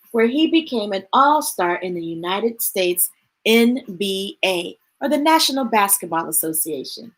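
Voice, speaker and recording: A woman reads picture books aloud for children, in a voice that sits a little high. She stops now and then for casual, chatty asides to the viewers. The tone is friendly and unhurried, as at a storytime. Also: low-quality recording.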